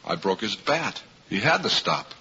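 An actor playing an earnest, self-doubting young baseball slugger in a vintage radio drama, slangy and emphatic. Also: male voice, fairly low pitch, sing-song, normal speed, sharp tone, slightly rough, heavily acted. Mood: dejected, insistent. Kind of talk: 1950s radio drama acting and first-person narration. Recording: noisy, muffled vintage radio recording.

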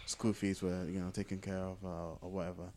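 Low-voiced man chatting on a podcast. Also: level tone, fairly quick, a little mellow, slight background noise. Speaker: podcast co-host. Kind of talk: casual conversational podcast chat.

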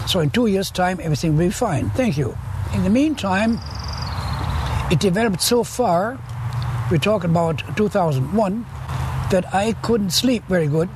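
A man's voice: sits high, background noise. An elderly man in his eighties telling his story as a documentary testimonial. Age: 80s.

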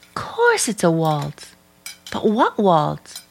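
Casting: earnest young niece for vintage radio thriller, very expressive, insistent. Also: female voice, lowish voice, slow pace, vocal fry, heavily acted. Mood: puzzled, insistent. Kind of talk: mid-century American radio drama acting.

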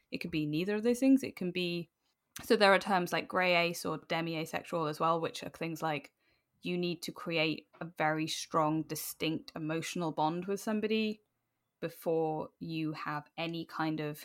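A British woman talking casually as an interview guest, animated and self-deprecating, often acting out bits of imagined dialogue. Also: on the low side. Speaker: podcast guest.

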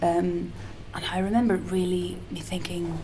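A soft-spoken woman being interviewed as a singer-songwriter, talking in a casual, hesitant way with frequent restarts. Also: fairly low pitch, little pitch movement, measured pace, poor audio. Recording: noticeable background hiss.

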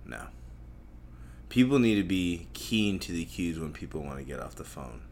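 A young man with a very deep voice hosts a solo podcast, talking in a loose, crude and profane ramble. He mocks himself and drifts from thought to thought, while faint noise from outside hums behind him. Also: very animated, moderate pace, darkish tone. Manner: crude, profane, self-mocking, meandering.

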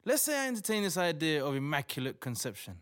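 A man playing a bewildered but courteous atheist doctor in a modern audio drama, measured and incredulous. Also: on the high side, sing-song, smooth. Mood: skeptical. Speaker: actor in audio play.

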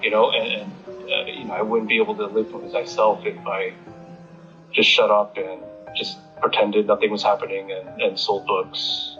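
An American man giving a reflective interview, halting as he searches for words. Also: on the low side, little pitch movement, pushed and bright. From United States. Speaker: interviewee, independent bookstore owner. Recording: slightly muffled, music behind.

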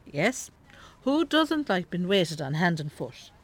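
A woman's voice: on the low side, very animated, slow pace, full character voice. An actress playing a tired, ailing elderly mother in a radio drama. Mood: tired, affectionate. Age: older.

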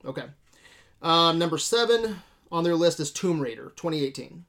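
A man's voice: a little high, lively intonation, moderate pace, a bit bright. A man hosting a casual movie discussion podcast, talking informally with slang and free swearing. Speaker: podcast host.

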